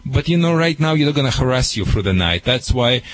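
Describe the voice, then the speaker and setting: A man's voice: medium pitch, lively intonation, fairly quick, no echo. A man giving dog training advice to a radio caller, in broken, emphatic English.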